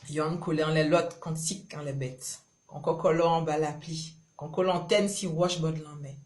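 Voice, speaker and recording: A woman's voice: sits low, fairly even intonation, normal speed, a little mellow. A woman from Guadeloupe with a Creole accent, performing spoken-word love poetry in short, rhythmic, incantatory phrases. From Marie-Galante, Guadeloupe. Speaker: poet.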